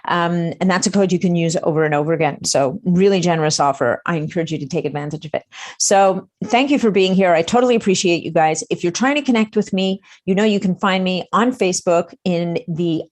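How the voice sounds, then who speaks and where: A woman's voice: lowish voice, fairly quick, darkish tone. A woman hosting a podcast, chatting upbeat with her listeners and guest. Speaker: podcast host. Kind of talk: podcast interview.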